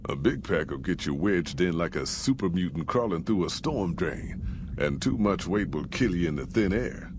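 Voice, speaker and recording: An older man with an American Western drawl, acting a gruff, plainspoken old caravan leader in a video game. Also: sits low, noisy background. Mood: brisk, teasing. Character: gruff folksy old caravan leader, weathered male storyteller. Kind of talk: video game character dialogue and narration.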